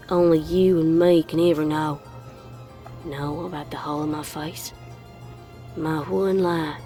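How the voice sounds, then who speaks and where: Woman voicing a haunted, gravelly, low-voiced young mare. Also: mellow. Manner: brooding, folksy phrasing. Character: troubled young female pony narrator, secretive and haunted. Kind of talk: dramatic first-person horror storytelling.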